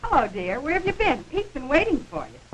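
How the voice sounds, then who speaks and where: An actress playing an everyday housewife in a 1940s educational film, on a noisy vintage soundtrack. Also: female voice, sits high, wildly swooping pitch, a little fast. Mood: domestic.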